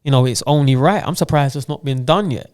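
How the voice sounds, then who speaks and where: A man's voice: mid-range voice, quick pace, darkish tone, clear, resonant tone. A man co-hosting a podcast in casual chat. He talks freely, makes his points with stories, and keeps checking in with "do you know what I'm saying". His speech is loose and conversational. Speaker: podcast co-host.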